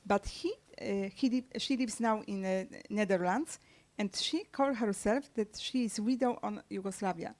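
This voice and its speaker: A woman author whose first language is Serbian, answering questions at a book launch in halting speech with many fillers and restarts. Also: mid-range voice, a little mellow. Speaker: author guest. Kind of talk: conversational book-launch interview. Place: press club event room.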